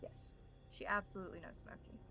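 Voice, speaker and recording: A woman narrating a tabletop role-playing game as game master, in measured, formal wording. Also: sharp tone, a little hiss or hum.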